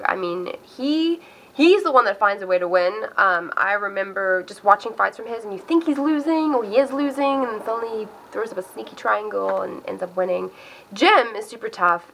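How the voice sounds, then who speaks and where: A woman's voice: mid-range voice, fairly bright tone, a little hiss or hum, poor audio. A woman from South Africa with an accent that mixes South African and American, chatting casually and upbeat to camera as she gives her fight predictions. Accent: mixed South African and American. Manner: chatty, upbeat, self-interrupting. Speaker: MMA video host.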